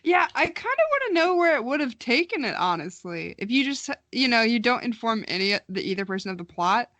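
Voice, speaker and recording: A woman's voice: a little high, very animated, fairly quick, fairly bright tone, no room sound. Young woman chatting, close dry sound. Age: young adult. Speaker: podcast co-host. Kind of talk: casual chat between friends.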